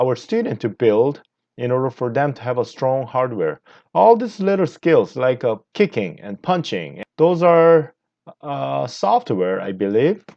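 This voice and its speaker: Earnest Korean-accented martial arts master. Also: male voice, medium pitch, darkish tone, dull audio. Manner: earnest, unhurried, with frequent pauses and fillers. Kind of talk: leadership lecture narrating slides.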